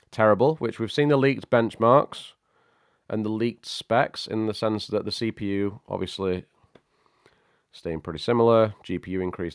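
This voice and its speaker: A man hosting a casual tech livestream, chatty and greeting viewers by name, his voice a little croaky from a cold. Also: fairly low pitch, no room sound, clean studio sound. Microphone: studio microphone.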